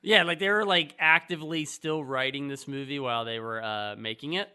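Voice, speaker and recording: A man's voice: mid-range voice, fairly quick, bright, forceful tone, smooth. A man co-hosting a film podcast, talking casually in an incredulous, questioning way.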